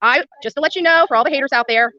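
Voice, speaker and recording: A woman's voice: high-pitched, rapid-fire, bright, forceful tone, dull audio. An American woman ranting to livestream viewers in a blunt, combative way, repeating phrases for emphasis. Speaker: livestream host and protest activist.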